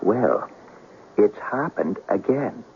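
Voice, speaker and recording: An actor playing a deferential, hesitant working-class police sergeant in a 1970s radio drama. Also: male voice, lowish voice, big pitch swings, slow, creaky, a little hiss or hum, full character voice. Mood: grave, hesitant. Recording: tinny 1970s radio recording.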